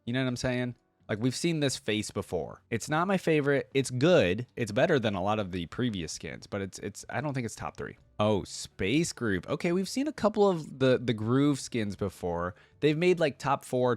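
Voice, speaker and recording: A man's voice: mid-range voice, dry recording. A man giving casual, off-the-cuff reaction commentary, informal and opinionated as he thinks aloud. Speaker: YouTube reaction host.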